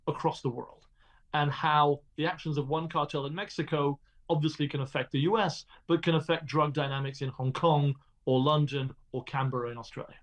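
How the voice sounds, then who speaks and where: Measured, articulate man being interviewed. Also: on the high side. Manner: articulate and measured, occasional restarts. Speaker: guest, crime journalist. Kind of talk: explanatory interview answers.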